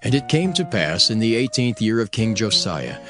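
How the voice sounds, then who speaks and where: A man's voice: fairly low pitch, big pitch swings, moderate pace. A man narrating dramatized scripture as a solemn storyteller. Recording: voice over prominent music. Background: music.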